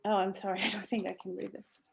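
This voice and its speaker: A woman's voice: medium pitch, little pitch movement, quick pace, creaky, rough recording. Hesitant woman from Portugal on a call. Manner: hesitant, many ums and restarts. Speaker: guest. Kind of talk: casual video-call chat. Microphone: video call.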